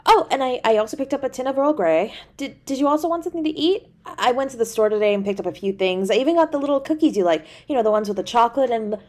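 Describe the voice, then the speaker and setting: A woman's voice: fairly high pitch, expressive, darkish tone, natural voice. A woman playing a tender, easily flustered young girlfriend in an intimate audio roleplay, speaking in a hesitant, stammering way. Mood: attentive, nervous. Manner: hesitant, stammering, halting delivery.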